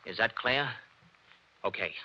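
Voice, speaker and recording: An actor playing a confident, wisecracking male private detective in a 1940s radio drama, talking fast and clipped. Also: medium pitch, bright, forceful tone, dull audio. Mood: threatening.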